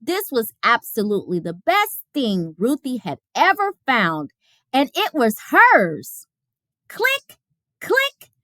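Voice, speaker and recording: A woman reading a children's picture book aloud as a storyteller, with expressive, careful phrasing. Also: fairly high pitch, takes it slowly, no room sound, studio quality.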